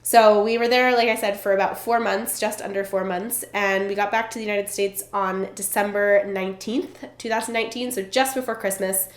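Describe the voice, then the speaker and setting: A woman's voice: medium pitch, fairly even intonation, normal speed, few pauses. A young woman with a stuffed-up, congested voice chatting casually to camera as a vlogger, bubbly and full of fillers, from Vermont. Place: indoors at a relative's home. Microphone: camera in front while seated. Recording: roomy echo.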